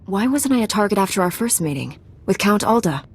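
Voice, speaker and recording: A self-assured, composed young knight. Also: female voice, mid-range voice, animated, fairly quick, breathy edge. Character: confident, composed young female warrior monk knight. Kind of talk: dramatic video game acting.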